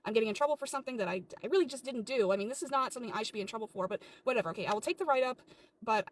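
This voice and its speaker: A woman's voice: on the high side, racing, slight background noise, close to an everyday voice. A woman playing an exasperated, defensive sandwich shop employee in a comedy skit, argumentative and incredulous. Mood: stressed, resigned.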